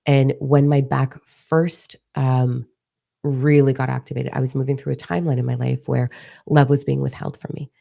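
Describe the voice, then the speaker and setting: Hoarse woman, candid and chatty. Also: extremely low pitch, level tone, normal speed, warm tone. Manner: candid, swears freely, chatty and confiding. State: hoarse, partly lost voice. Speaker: spiritual teacher. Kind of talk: casual spiritual talk to followers.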